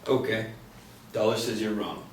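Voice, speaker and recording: A man's voice: on the low side, steady tone, moderate pace, close to an everyday voice. An actor reading a laconic, skeptical young policeman in a screenplay table read, terse and understated. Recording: echoey, distant mic, faint noise.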